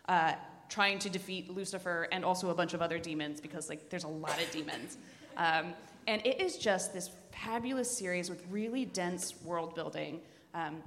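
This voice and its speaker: A woman with a lowish voice speaks as a podcast host recommending books. Her delivery is informal and blunt, with clear enthusiasm for what she describes. Long reverb places her in a hall. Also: fairly bright tone. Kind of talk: casual chat before a live group.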